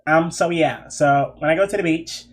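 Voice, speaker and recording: A man's voice: fairly high pitch, normal speed, lightly acted. A man putting on a girly young woman's voice, hesitant and full of fillers. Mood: coy.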